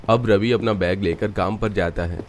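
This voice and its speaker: Man, low voice, steady cartoon narration, storybook telling. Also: normal speed, slight background noise.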